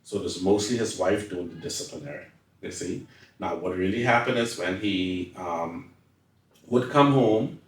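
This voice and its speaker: Male interviewee, Turks and Caicos accent, deep voice, repeats for emphasis, distant from the mic in a reverberant space. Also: normal speed. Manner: emphatic, often repeats phrases. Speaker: interview guest. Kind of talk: personal life-story interview. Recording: echoey room, distant mic.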